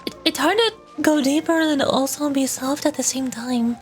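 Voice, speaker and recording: Female VTuber, high pitch, casual and playful with hesitant self-conscious delivery, music underneath. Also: normal speed. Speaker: VTuber game streamer. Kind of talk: casual livestream chat while voicing game dialogue.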